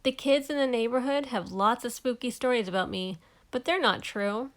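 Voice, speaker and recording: A woman voicing a kindly, regretful old male neighbor in a storybook, gentle and plain-spoken. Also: fairly high pitch, moderate pace, close to an everyday voice. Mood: reassuring.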